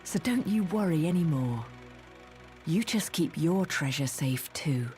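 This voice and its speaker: A gentle, protective witch mother from a video game cutscene. She takes her time with every phrase in a fairly low register, tender and reassuring. Music plays clearly behind her. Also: lively intonation, a little slow.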